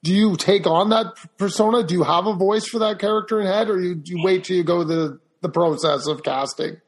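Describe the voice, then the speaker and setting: Interviewer, husky tone, plain direct questions. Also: mid-range voice, brisk.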